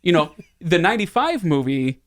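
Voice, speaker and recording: A man's voice: on the high side, very animated, moderate pace, no room sound. A man hosting a casual podcast conversation about films. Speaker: podcast host. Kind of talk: casual chat.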